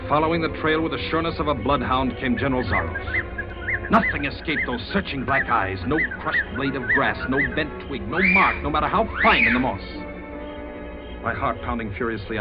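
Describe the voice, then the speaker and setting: Earnest hunted man, muffled old radio. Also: medium pitch. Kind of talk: 1940s radio acting and narration.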